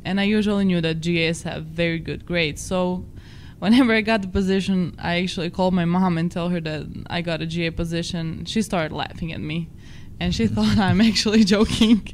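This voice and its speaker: A woman's voice: on the low side, fairly even intonation, brisk, a little hiss or hum. Croatian-accented young woman, chatty. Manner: chatty, self-deprecating, non-native phrasing. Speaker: podcast co-host. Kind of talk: casual chat.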